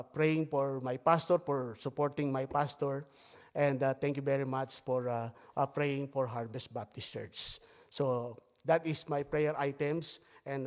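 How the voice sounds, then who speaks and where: A man from the Philippines with a Filipino accent and Tagalog as his first language, preaching a sermon at a pulpit microphone with frequent hesitations. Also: fairly high pitch. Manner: halting delivery with frequent hesitations; softer, hushed tone while praying. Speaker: visiting missionary pastor. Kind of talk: sermon with Bible reading and prayer. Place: church sanctuary. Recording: long church hall reverb.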